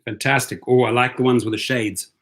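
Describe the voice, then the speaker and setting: A man's voice: mid-range voice, fairly even intonation, brisk. Upbeat man hosting a livestream. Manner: upbeat, repeats phrases, gives shout-outs. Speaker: livestream host. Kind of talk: casual livestream chat, reading out viewers.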